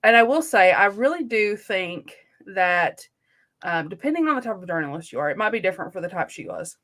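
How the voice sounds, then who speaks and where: A woman in a casual book discussion as a co-host, talking informally with slangy phrasing and softened opinions. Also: medium pitch, sing-song, brisk.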